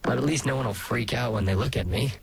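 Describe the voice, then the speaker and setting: Man as a gravelly, weary skeleton brother. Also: fast, heavily acted. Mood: mild, resigned. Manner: low-key, casual. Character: Gino, weary, easygoing scarred skeleton brother. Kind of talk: fan comic dub acting. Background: faint music.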